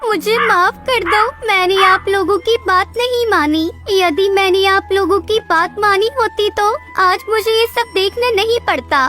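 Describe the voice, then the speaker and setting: Woman doing a chubby greedy cartoon bird lady, super high n whiny, kiddie voice, music underneath. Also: unhurried, hardly any pauses. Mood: apologetic. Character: stubborn, gluttonous chubby female cartoon bird wife. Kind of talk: animated Hindi moral story dialogue. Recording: music bed behind the voice.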